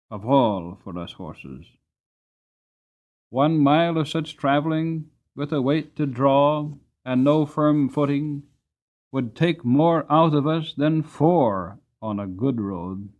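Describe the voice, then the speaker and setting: A gentle old-fashioned carriage horse tells his life story , voiced by a man. The storybook delivery is earnest and expressive, with lively swings in pitch. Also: takes it slowly. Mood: earnest, grave. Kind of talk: dramatic storybook narration.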